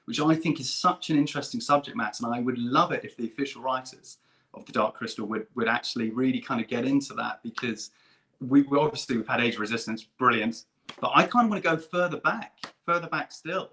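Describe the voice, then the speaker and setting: A man's voice: medium pitch, a little fast, bright, forceful tone. A man chatting casually as a convention guest, speaking with emphatic enthusiasm. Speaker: guest performer at an online fan convention. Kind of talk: casual chat.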